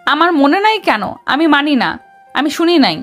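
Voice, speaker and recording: A woman's voice: high voice, big pitch swings, fairly quick, a bit bright, faint music behind. A woman playing a feisty, sassy young officer in a romantic audio story, with a melodramatic manner. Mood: wailing, indignant. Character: feisty, dramatic young woman officer in love with her boss.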